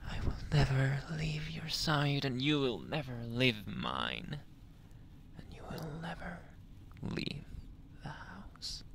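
A man's voice: medium pitch, full character voice. Man playing a whispering, possessive yandere lover boy. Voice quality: breathy, whispery, creaky. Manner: slow, lingering, teasing endearments with soft chuckles. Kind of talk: ASMR roleplay, intimate whispered monologue.

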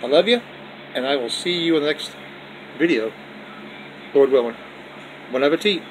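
Brief: folksy male home vlogger at the dinner table, phone-recorded, air-conditioner hum clearly audible behind him. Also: fairly high pitch, animated, moderate pace, low-quality recording. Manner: folksy, repetitive, with religious blessings. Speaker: vlog host showing his dinner. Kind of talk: casual off-camera food vlog. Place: indoors at home, dining table.

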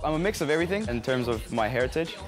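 A man's voice: mid-range voice, lively intonation, very fast. A man in his twenties from Samoa, talking casually to camera as a featured guest. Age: 20s. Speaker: featured guest, CrossFit athlete. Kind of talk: casual profile interview to camera. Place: gym, indoors. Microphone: lapel. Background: music.

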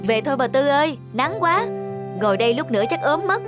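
A woman voicing a kindly, practical village market woman in a cartoon, brisk and solicitous. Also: high-pitched, animated, over music. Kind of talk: animated moral tale voice acting.

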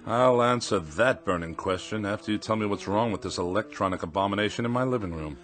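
An actor playing a haughty, indignant middle-aged misanthrope with ornate, theatrical diction in a radio drama. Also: male voice, fairly low pitch, fast, fairly bright tone, faint music behind, natural voice. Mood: sarcastic, exasperated. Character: pompous, irascible middle-aged misanthropic writer.

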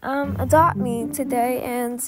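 High-pitched, stammering young girl gamer. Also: steady tone, measured pace. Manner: hesitant, stammering, repeating words. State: sick, voice sounds off. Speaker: gaming channel host. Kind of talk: casual gameplay commentary.

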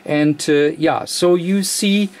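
A man who is a non-native English speaker gives a technical walkthrough as an electronics presenter, speaking haltingly with many uhs. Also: a little high, a little mellow. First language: not English. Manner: halting, hesitant, frequent uhs. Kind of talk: technical walkthrough of a radio modification. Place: indoor electronics workbench, off camera.

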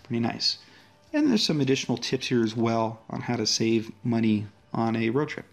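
Relaxed man demoing an app. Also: medium pitch, moderate pace, creaky. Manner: relaxed, friendly walkthrough with frequent fillers. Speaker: app developer. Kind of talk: casual screen-recorded app demo.

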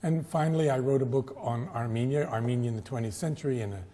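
Older man, measured storyteller, mellow, lapel mic, reverberant studio. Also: medium pitch. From United States. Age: 70s. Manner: measured, storytelling, occasional self-correcting restarts. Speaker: guest historian. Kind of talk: relaxed studio interview.

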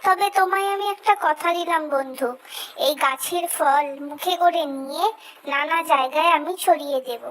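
A woman's voice: high-pitched, normal speed, fairly bright tone. A woman voicing a small, earnest talking bird in a cartoon fable, with a plaintive delivery. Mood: earnest, apologetic. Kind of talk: scripted cartoon story acting.

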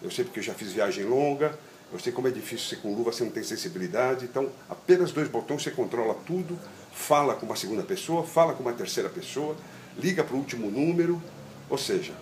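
A middle-aged man with a Brazilian accent giving an informal hands-on tutorial step by step, haltingly, with repeated words and little check-in tags. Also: medium pitch. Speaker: product demonstrator. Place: outdoor patio table in a garden. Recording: roomy echo, steady ambient noise.

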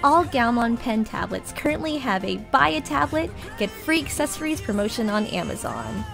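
High-pitched woman host reading sponsor spot. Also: sing-song, normal speed, creaky, over music. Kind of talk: scripted promotional narration.

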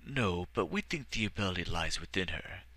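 A man voicing a wise old elf mage who speaks in a measured, formal and gentle way. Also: deep voice, big pitch swings, full character voice. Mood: calm.

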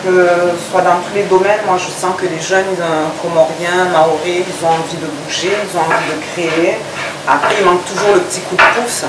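A woman in her thirties explaining her project in a conversational interview, pausing often between short phrases. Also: fairly low pitch, steady tone. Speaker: restaurant owner. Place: restaurant terrace, dining room and working kitchen. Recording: distant, echoey, loud background noise.

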